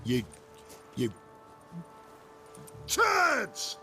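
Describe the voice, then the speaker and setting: An actor playing a rude, halting thug on guard at a door in a fantasy game. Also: male voice, very high-pitched, huge pitch swings, bright, forceful tone, faint music behind, heavily acted. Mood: belligerent. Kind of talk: video game dialogue.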